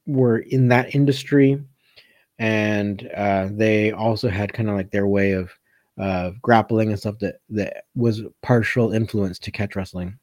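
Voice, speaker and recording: Male coach for casual livestream Q&A. Warm, unforced voice, halting thinking-aloud delivery, dry acoustics. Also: lowish voice, steady tone, dry recording. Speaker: catch wrestling coach hosting a live Q&A. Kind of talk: casual live chat answering viewer comments.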